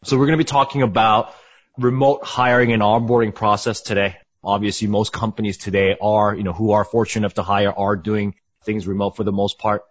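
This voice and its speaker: A man's voice: fairly low pitch, level tone, fairly quick. A man hosting a business podcast, reading a scripted intro and then asking interview questions in an upbeat way. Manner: upbeat, repeats "awesome". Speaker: podcast host. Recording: dull lo-fi audio.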